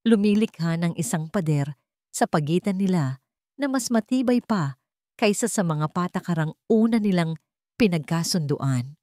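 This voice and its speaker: A woman's voice: on the low side, expressive, moderate pace, dark tone, no echo, studio quality. A woman reading scripted dramatic narration of a romance story as the storyteller.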